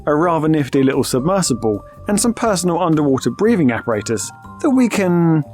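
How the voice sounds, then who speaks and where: An easygoing, shrewd space adventurer played by a man talks in a wry, conversational manner. The voice is on the higher side for a man, over soft music in an audio drama. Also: big pitch swings, fairly quick. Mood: explaining.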